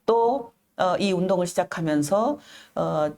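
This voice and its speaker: Earnest, measured woman in an interview. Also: on the low side, expressive, a little mellow. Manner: earnest, measured, with frequent pauses and careful enunciation. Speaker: interview guest, activist. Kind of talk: reflective personal storytelling in an interview.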